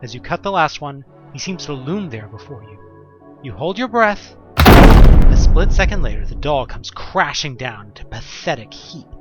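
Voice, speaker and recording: A man's voice: a little high, very animated, normal speed, highs cut off. A man narrating a second-person horror story in a theatrical, suspenseful way, with wry, casual asides. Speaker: narrator of an audio drama. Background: music.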